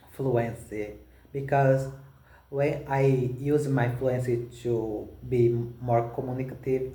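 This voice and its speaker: A man with a Brazilian accent, a native Portuguese speaker practicing spoken English, talking haltingly with many restarts and fillers. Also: medium pitch, warm tone, echoey room. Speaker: language learner.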